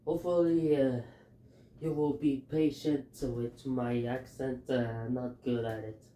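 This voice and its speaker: A man with a Filipino accent talking through his fan theories in a halting, hesitant way, with many fillers. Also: mid-range voice, fairly even intonation, warm tone, reverberant, slight background noise. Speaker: fan commentator. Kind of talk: casual theory talk.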